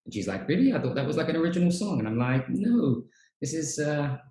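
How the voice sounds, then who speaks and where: A man's voice: fairly high pitch, fast talker. Chatty male host, roomy sound. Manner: relaxed, chatty, frequent fillers. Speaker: podcast host, teacher trainer. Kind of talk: casual chat. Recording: roomy echo.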